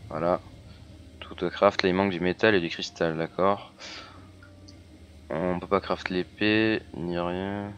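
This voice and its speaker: A hoarse man chatting casually as he plays a video game, full of fillers and restarts. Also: low voice, little pitch movement, slow pace, long pauses, fairly bright tone, a little hiss or hum. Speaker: gaming YouTuber. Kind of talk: casual let's-play commentary.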